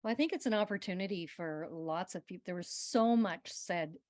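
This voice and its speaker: A woman's voice: lowish voice, normal speed, darkish tone, dry recording. A woman co-hosting an online session, playful and teasing as she invites people in.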